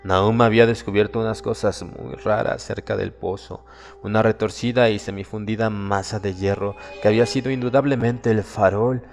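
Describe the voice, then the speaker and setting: A man narrating a horror audiobook in a dramatic, solemn and suspenseful manner, with deliberate phrasing. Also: on the low side, steady tone, gravelly, darkish tone. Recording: long reverb, music behind. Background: music.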